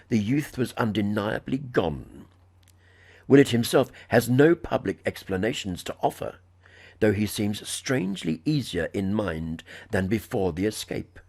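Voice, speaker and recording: Male narrator for classic horror fiction: husky, expressive melody, formal and measured. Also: fairly low pitch. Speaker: audiobook narrator. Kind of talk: dramatic literary horror story reading.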